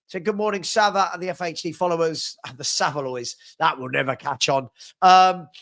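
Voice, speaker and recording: A young man hosting a live fan chat stream, upbeat and chatty as he reads out viewers' comments and gives shout-outs, with a head cold that leaves him a bit sniffly. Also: fairly high pitch, a little fast.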